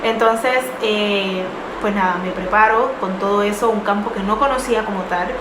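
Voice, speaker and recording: Young woman, earnest storyteller, middling pitch, echoey room with background noise. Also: fairly even intonation, moderate pace. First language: not English. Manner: earnest, many fillers and restarts. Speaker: vlogger. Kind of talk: personal storytelling.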